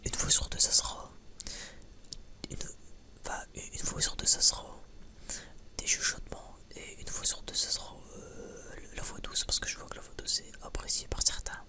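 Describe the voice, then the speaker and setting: A man's voice: extremely high pitch, completely flat delivery, normal speed. Whispering man, casual ASMR chat. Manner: hesitant, stammering, repeats words; informal, frequent swearing. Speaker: ASMR video creator.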